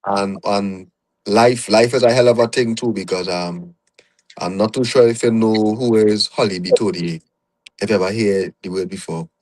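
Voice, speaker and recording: A man's voice: fairly low pitch, steady tone, moderate pace, darkish tone, rough recording. A young man with a Trinidadian accent, a podcast guest reflecting on his career in a casual interview, often adding "you know".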